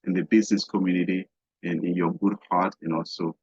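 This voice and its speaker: A middle-aged man from Haiti, a guest business coach talking haltingly in an interview, with many fillers and restarts. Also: deep voice, little pitch movement, darkish tone, slightly rough, no room sound. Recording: dull, dry lo-fi sound.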